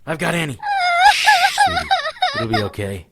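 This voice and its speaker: An actor playing a hard-boiled occult investigator in an audio drama, with a wry noir delivery. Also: male voice, a little high, wildly swooping pitch, normal speed, very noisy. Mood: tense, alarmed. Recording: loud guitar music behind.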